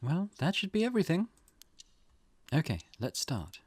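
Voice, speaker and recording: A man's voice: fairly high pitch, wildly swooping pitch, normal speed, darkish tone, breathy edge, dry recording, full character voice. A man voicing a composed teenage schoolgirl who leads an occult ritual, reading video game dialogue aloud. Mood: calm instructing.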